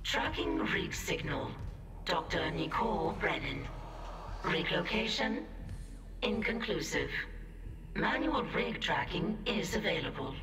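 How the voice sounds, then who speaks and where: Impersonal ship computer, distant, very slow, flat, automated game announcements. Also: female voice, fairly low pitch, echoey room, music in the background. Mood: impassive.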